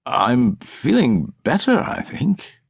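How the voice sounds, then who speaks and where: A man's voice: medium pitch, huge pitch swings, slow, gravelly, breathy edge, dry recording, studio quality, voice pushed up, exaggerated voice. A man voicing a middle-aged police commissario who feigns illness, polite, wary and hesitant, in an audiobook. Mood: nervous, polite. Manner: hesitant, understated.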